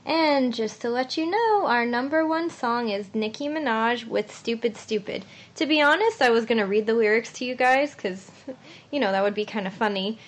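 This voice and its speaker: A woman's voice: fairly high pitch, moderate pace. A woman co-hosting a podcast, presenting in a casual, chatty style. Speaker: podcast co-host.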